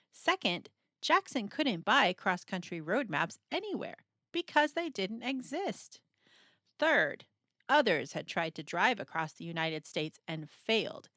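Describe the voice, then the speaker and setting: A woman reading a children's picture book aloud in an expressive, playful storytelling style, with dramatic pauses and emphasis. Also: medium pitch, dry recording.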